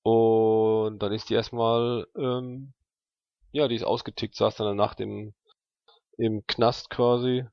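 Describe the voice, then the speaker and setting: Male tabletop role-player, gravelly, casual slang, halting delivery, dry and slightly dull recording. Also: lowish voice, little pitch movement, no room sound. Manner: halting, thinking aloud. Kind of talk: casual slangy in-character dialogue.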